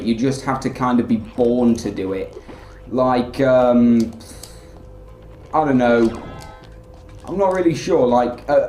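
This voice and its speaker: A man giving rambling, filler-heavy let's play commentary in a flat, monotone way. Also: mid-range voice, echoey room. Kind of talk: casual gameplay commentary.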